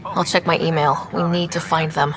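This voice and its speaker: An actress playing a young woman space explorer in a video game, steady and dry-witted. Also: deep voice, normal speed, a crowd in the background, lightly acted. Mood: determined. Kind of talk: video game dialogue acting.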